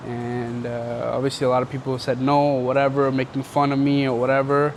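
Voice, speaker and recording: A man's voice: medium pitch, flat delivery, a little fast, slight background noise. A young man from Canada, casually telling his life story in an interview, rambling and slangy with lots of filler words.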